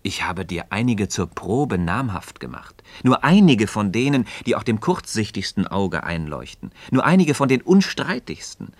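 Deep-voiced, patient learned mentor. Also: male voice, sing-song, no echo. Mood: earnest, instructive. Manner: measured, didactic, rhetorical. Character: learned male Freemason friend, patient, earnest, gently ironic teacher. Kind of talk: scripted philosophical dialogue in German radio drama.

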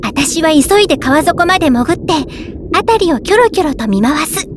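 A woman playing a sweet, bubbly young newlywed mermaid, telling her own story in an expressive Japanese audio drama style. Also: high-pitched, normal speed, background noise. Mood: determined. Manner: cutesy, expressive first-person narration with exclamations. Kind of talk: Japanese audio drama monologue, game tie-in. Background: music.

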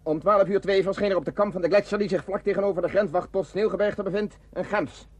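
An actor playing a tense, dutiful border-guard lieutenant in a radio play, clipped and military, with a husky voice. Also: male voice, sits high, fairly even intonation, fast talker. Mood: urgent.

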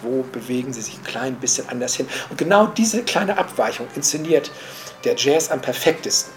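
A mature man with a medium-pitched voice talks off the cuff like a cultural critic. He gropes for the right word, restarts and checks in with short tag questions. Music plays clearly behind his voice. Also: animated. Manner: halting, searching for words, adding tag questions. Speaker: cultural commentator and jazz enthusiast. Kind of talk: spontaneous analytical talk.

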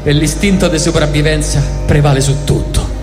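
A man's voice: a little high, steady tone, moderate pace, long reverb tail, over music. A man with a Roman accent performing a sarcastic, profane, mock-epic comic monologue in the first person. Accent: Italian, Roman. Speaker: storyteller.

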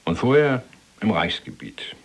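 An actor playing an imprisoned former police archivist, chatty, pedantic and evasive, with a rough, husky voice in a radio drama. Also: male voice, fairly low pitch, lively intonation, unhurried. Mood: wry, defensive. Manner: folksy, hedging, placating. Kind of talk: dramatized conversation.

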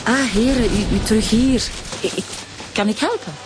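A woman playing an anxious, deferential middle-aged shopkeeper mother, speaking halting, broken sentences in a radio drama. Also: on the high side, lightly acted. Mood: flustered, polite. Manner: halting broken grammar, apologetic. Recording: faint ambient noise.

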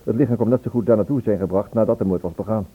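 An actor playing a probing, businesslike police inspector in a Dutch radio play, with measured questions and hesitant pauses. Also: male voice, on the low side, husky. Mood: measured, questioning. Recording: tinny band-limited old radio recording.

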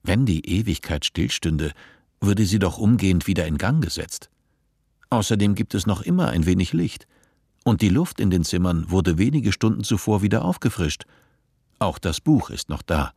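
A man narrating a scripted radio play in a measured, literary manner. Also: deep voice, animated, gravelly, a little mellow, husky, no room sound, clean studio sound, full character voice.

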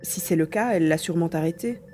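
A woman's voice: lowish voice, quick pace, warm tone, a little hiss or hum, lightly acted. A woman playing an adventure heroine who questions her partner during a search in an audio drama. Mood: questioning, concerned. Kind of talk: French audio drama acting.

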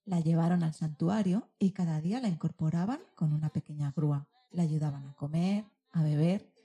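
A woman's voice: deep voice, normal speed, warm tone, breathy edge. A woman presenting and narrating a scripted radio programme for all ages.

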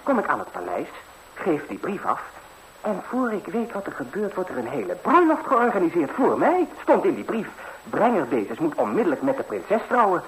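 A carefree young fairy-tale hero on vintage radio, chatting breezily and eagerly. The voice is fairly high and very animated, with faint noise on the old recording. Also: male voice, moderate pace, creaky, full character voice. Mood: chatty, eager. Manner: breezy, colloquial, eager storytelling. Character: cheerful, fearless, naive young lad, lucky fairy-tale hero. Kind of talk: 1960s Dutch radio fairy-tale acting. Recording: slightly noisy old 1960s radio recording.

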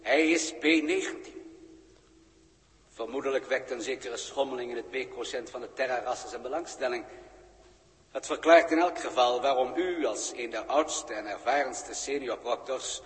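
An actor in a 1960s radio drama playing a composed, deferential alien official, with formal, measured and stately diction. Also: male voice, medium pitch, lightly acted. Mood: solemn, revealing. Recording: reverberant 1960s radio studio recording.

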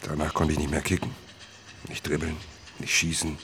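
Very deep, gravelly, laconic man. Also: monotone, normal speed, a bit bright, full character voice. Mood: plain, rueful. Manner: terse, plain, matter-of-fact. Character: laconic, melancholy man, a quiet first-person narrator. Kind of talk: understated radio play narration.

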